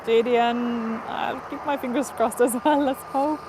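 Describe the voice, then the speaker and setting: A cheerful woman with a fairly high voice hosts a live stream from outdoors near an airport. She chats casually with viewers in accented English and laughs often. Outdoor ambience and traffic noise run clearly behind her. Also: steady tone, normal speed. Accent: non-native English, neither Portuguese nor Spanish. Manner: chatty, upbeat, laughs often. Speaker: livestream host.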